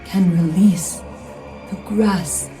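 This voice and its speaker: A woman playing a stern, solemn goddess in a video game, speaking in a measured, imperious way. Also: mid-range voice, fairly even intonation. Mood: solemn, commanding. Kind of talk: dramatic video game acting. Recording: roomy, distant echo under music.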